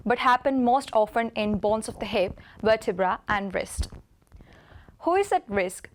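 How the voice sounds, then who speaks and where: A woman in her twenties from India, a medical student reading a lecture presentation steadily, with an occasional slip. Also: fairly high pitch. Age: 20s. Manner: reads steadily from slides, occasional slips.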